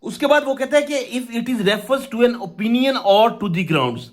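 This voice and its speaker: High-pitched, animated Pakistani man teaching. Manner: didactic, asks and answers own questions. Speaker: law teacher. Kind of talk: explanatory law lesson, mixing Urdu with English legal terms.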